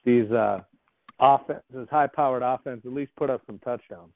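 A man's voice: medium pitch, normal speed, gravelly, no echo. A male podcast co-host who trades casual jokes about football with his partner. His voice comes through tinny and dry, like a phone call. He talks in an easygoing, bantering way. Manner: joking, banter. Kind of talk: casual sports podcast chat.

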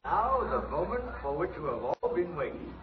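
An actor playing a pompous, formal master of ceremonies announcing a prize in 1950s radio style. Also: male voice, on the high side, sing-song, normal speed. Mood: grand, ceremonious. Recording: dull, noisy old radio broadcast.

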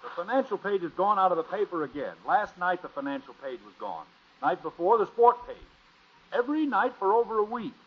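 A man's voice: high voice, sing-song, fairly quick, breathy edge, exaggerated voice. An American man playing an exasperated, grumbling middle-aged father in a 1950s radio sitcom. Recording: muffled old radio recording.

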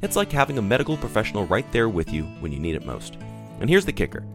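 Male ad announcer, quick n lively infomercial read, guitar music under it. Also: on the low side. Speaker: advertising announcer. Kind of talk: scripted infomercial sales pitch. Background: plucked guitar music.

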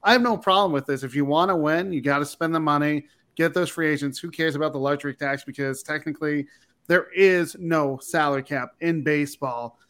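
A man's voice: a little high, a bit bright. A man on a sports talk panel giving his view in a direct, matter-of-fact way.

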